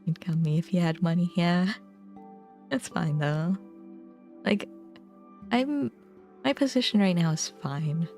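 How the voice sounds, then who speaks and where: A young adult woman chatting casually on a livestream, musing informally in short, halting phrases. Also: lowish voice, a little mellow. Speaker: game streamer. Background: background music.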